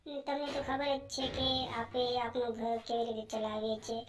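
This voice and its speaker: A woman's voice: fairly high pitch, fairly even intonation, brisk, close to an everyday voice. A woman voicing an earnest, poor farm boy in a cartoon story.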